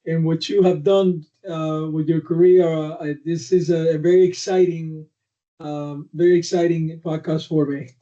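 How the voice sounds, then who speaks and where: A man's voice: fairly high pitch, flat delivery, dark tone. Older man, distant and muffled. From Cuba. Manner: halting, many fillers. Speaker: podcast guest. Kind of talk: conversational podcast discussion. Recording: distant, dull lo-fi audio.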